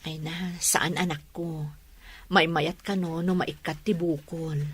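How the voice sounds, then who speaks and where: A woman with an Ilocano accent playing an ailing but resolute mother in a radio drama, speaking earnestly in a plaintive, measured way. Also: sits low, steady tone, breathy edge. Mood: resigned, steady.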